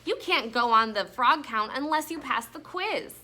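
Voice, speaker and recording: A playful, bossy big sister from a storybook, read aloud by a woman. Her voice is high and bright, with lively swings as she gives instructions and encouragement. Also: moderate pace. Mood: eager, instructing.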